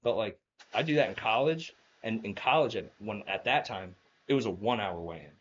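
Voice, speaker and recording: A man's voice: lowish voice, animated, brisk, lo-fi sound, slight background noise. A young man from New Jersey telling stories as a guest in a casual interview, rambling with lots of fillers and laughing often. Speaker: guest, former college wrestler.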